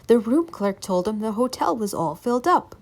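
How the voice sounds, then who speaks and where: A woman reading spooky folk tales aloud as a story time reader, with dramatic, deliberate delivery. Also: fairly high pitch, sing-song.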